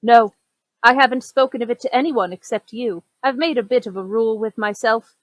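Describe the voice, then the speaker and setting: Woman as high-voiced, formal Victorian storyteller. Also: fairly quick, dry recording, lightly acted. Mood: uneasy, discreet. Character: indignant, discreet Victorian gentleman recounting a strange encounter. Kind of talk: gothic radio play acting.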